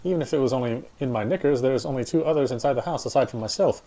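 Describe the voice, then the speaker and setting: Guy reading visual novel narration out loud, mid pitched voice. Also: very fast. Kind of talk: reading first-person story narration aloud.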